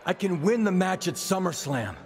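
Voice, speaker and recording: A man's voice: high voice, animated, moderate pace, a little hiss or hum. An actor playing an earnest, pleading male wrestling champion in a dramatic game cutscene.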